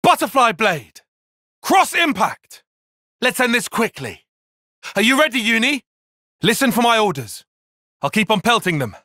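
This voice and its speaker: Brainy young male fighter, video game battle barks, forceful bright tone, wide swings in pitch, clipped commands. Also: sing-song, measured pace, long pauses, no echo, clean studio sound, full character voice. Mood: battle shouting. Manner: clipped, commanding short call-outs. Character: brainy young male tactician fighter, composed but intense.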